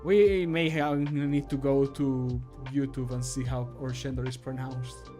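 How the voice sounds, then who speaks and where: A man's voice: medium pitch, level tone, unhurried, dark tone, soft background music. A man modding a game and recording voice lines, chatting casually to himself and laughing often. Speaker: modder and streamer.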